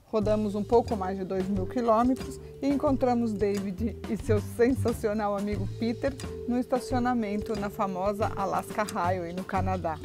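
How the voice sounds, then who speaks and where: A woman's voice: medium pitch, steady tone, moderate pace, few pauses, over music. A Brazilian woman with a Brazilian Portuguese accent narrating a travel vlog in a cheerful, easy manner. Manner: cheerful, laughs often while filming.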